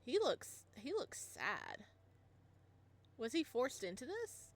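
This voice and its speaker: A woman voicing a flustered young single father in a visual novel, stammering when nervous, with lively swings in pitch. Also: slow pace, heavily acted. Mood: jealous. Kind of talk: reading a visual novel aloud.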